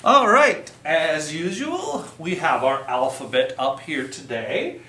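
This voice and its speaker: Animated, bright-voiced man hosting children's storytime. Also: high-pitched. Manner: encouraging, playful, simple repeated instructions. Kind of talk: sing-along storytime for young children. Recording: roomy, echoing sound.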